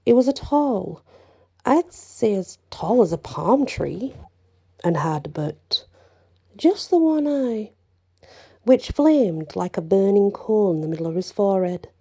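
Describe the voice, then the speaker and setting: A woman storyteller reading a folktale aloud in first person, hushed, with whispered passages and casual asides. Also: medium pitch, sing-song, takes it slowly, slightly rough.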